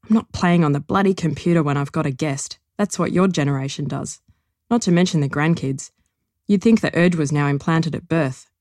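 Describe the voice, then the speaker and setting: A woman reading aloud as a gruff, smug older man who grumbles in a dry, stony way. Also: low-pitched, brisk, darkish tone, no echo, close to an everyday voice. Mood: grumbling. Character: gruff old bookish man, blunt and smug.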